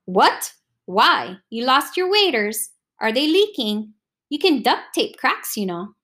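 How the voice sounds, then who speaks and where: A woman reading an audiobook, voicing a bossy, caring older Hawaiian uncle with a Hawaiian Pidgin accent and a gruff, teasing way of ordering people about. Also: high voice, big pitch swings, slow pace, a bit bright, pitched above the performer's own voice, heavily acted. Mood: puzzled. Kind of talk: audiobook character voice.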